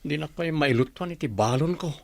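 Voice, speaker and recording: A man's voice: on the high side, a little fast, vocal fry, no room sound. A man acting a weary working husband and father with a Filipino accent in a radio drama, plaintive and long-suffering. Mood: weary, pleading.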